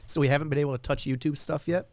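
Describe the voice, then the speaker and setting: Man, podcast co-host, slightly raspy, joking, rambling, raised pitch, dry sound. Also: steady tone, rapid-fire, a little mellow, dry recording. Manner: jokey, laughs often, rambling with fillers. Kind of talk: casual chat.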